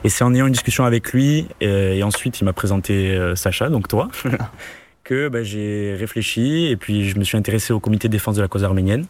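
A young man of twenty-one tells his story in an interview, his voice fairly low. He talks in a relaxed way, peppered with fillers. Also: a little fast, no echo. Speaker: law student guest. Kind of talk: casual interview.

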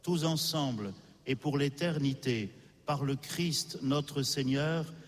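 A man presiding at Mass leads the prayer in slow, solemn intoning. His voice is mid-pitched and unhurried. A long reverb from the church follows every phrase. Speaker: presiding priest. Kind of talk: liturgical prayer.

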